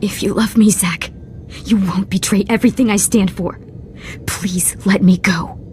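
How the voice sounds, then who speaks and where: A woman's voice: mid-range voice, fairly even intonation, unhurried, darkish tone, faint music behind, close to an everyday voice. Actress as a sick, defiant rebel woman. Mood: weak pleading. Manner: strained, resistant, emotional. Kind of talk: video game dialogue acting.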